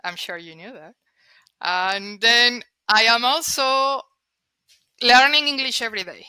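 Colombian-accented woman guest, Spanish-first speaker, bright and clear tone, emphatic, dry close mic. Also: fairly high pitch, a little slow, no echo, poor audio. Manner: emphatic, repeats words while restarting. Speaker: guest, data and analytics leader. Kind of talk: conversational interview.

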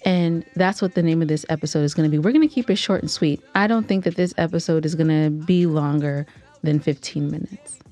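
Woman, lowish pitch, casual podcast storyteller, teasing, dramatic emphasis, faint music behind. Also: a little fast, mellow. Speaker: podcast co-host.

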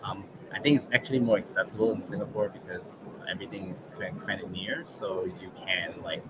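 A man from Malaysia with a Malaysian English accent, a guest in a casual interview, relaxed and thinking aloud with many fillers. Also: low-pitched, brisk, noisy background. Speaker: guest, regional manager.

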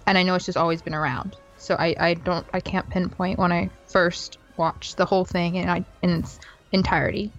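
A woman's voice: on the low side, steady tone, brisk. A woman in her twenties, a podcast guest, chatting in a reflective, talkative way. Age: 20s. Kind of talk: casual podcast chat. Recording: muffled lo-fi audio with faint music.

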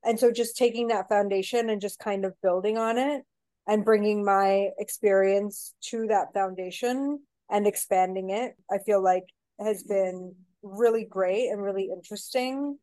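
A woman's voice: medium pitch, fairly even intonation, a little slow, dark tone, dry recording, rough recording. Chatty Mexican-born woman director. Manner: chatty, rambling with many "you know" fillers. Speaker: theater director, guest. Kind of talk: casual interview chat.

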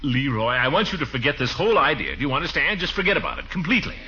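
Pompous exasperated uncle on old radio comedy, big swoops up and down, forceful, muffled recording. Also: male voice, a little high, very animated, rapid-fire. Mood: stern, exasperated. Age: middle-aged. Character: blustery, soft-hearted middle-aged uncle, pompous and exasperated. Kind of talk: 1940s radio comedy acting.

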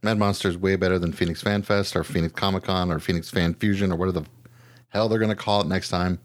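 A man's voice: low-pitched, steady tone, fast talker, dry recording. A man hosting a podcast in casual chat, talking informally with some mild swearing and self-deprecation. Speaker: podcast host.

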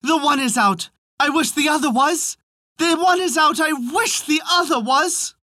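A storybook narrator voicing a simple-minded little boy who bawls out phrases in a loud, repetitive singsong. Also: high voice, sing-song, slow, dry recording, clean studio sound, pitched above the performer's own voice, exaggerated voice. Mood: loud bawling. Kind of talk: storybook folk tale character.

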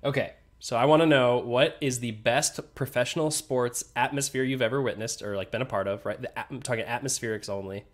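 Brief: young male co-host, stuffy nose, relaxed and joking, swears casually. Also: mid-range voice, fairly quick, few pauses. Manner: laid-back, swears, laughs often. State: congested from a sinus infection. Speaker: podcast co-host. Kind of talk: casual chat over beers.

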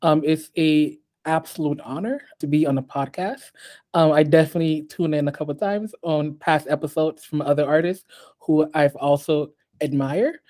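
A man born in Haiti and raised in the US, talking as a guest on a podcast interview in a measured way, with many ums and restarts. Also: a little high, warm tone. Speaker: guest artist.

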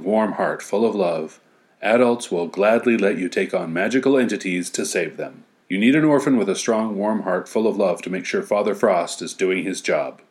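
A man's voice: on the low side, darkish tone. A man reading a story as narration, with wry, playful asides. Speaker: story reader.

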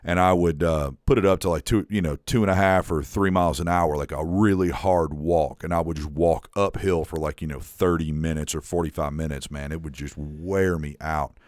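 A man's voice: extremely low pitch, steady tone, moderate pace, hardly any pauses, darkish tone, no echo, studio quality. A man co-hosting a podcast, telling a long story in casual chat and acting out what others said, swearing now and then. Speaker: podcast co-host.

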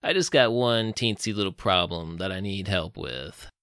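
A man's voice: low-pitched, normal speed, fairly bright tone, no echo, clean studio sound. A man acting a menacing folksy Texan gunman. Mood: falsely reassuring. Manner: folksy, falsely reassuring, commanding. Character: smiling, menacing Texan gunman, a self-proclaimed family man.

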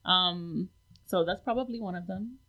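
A woman's voice: lowish voice, moderate pace, phone-quality sound. A woman in her forties, born in the United States, talking reflectively as an interview guest with many ums. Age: 40s. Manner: reflective, with frequent fillers. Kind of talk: casual interview chat.

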